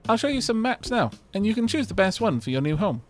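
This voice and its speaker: A man's voice: high voice, very animated, fast talker, pitched above the performer's own voice. A man reading out a courteous male raccoon host's game dialogue in a salesman-like way, with faint music behind.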